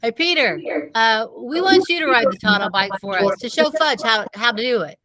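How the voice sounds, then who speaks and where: A woman's voice: a little high, animated, normal speed, crowd noise, lightly acted. A woman playing a father of young boys in a children's storybook, explaining and persuading. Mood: coaxing.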